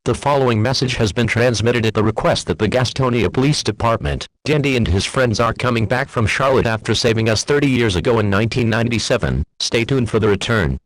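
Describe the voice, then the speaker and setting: A man's voice: mid-range voice, fairly quick, long pauses, heavy background noise. A man reading scripted emergency alert messages as an announcer.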